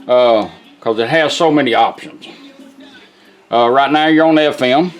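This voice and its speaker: A man gives an informal demonstration as a restorer showing off his work. His manner is folksy and unhurried, with a medium-pitched voice. Guitar music plays behind him. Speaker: radio restorer demonstrating a product. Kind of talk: casual product demonstration.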